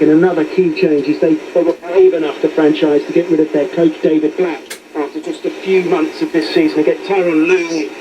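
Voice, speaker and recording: A man working as a basketball reporter, giving conversational, explanatory sports analysis over shortwave radio. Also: fairly high pitch. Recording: muffled, noisy shortwave radio broadcast.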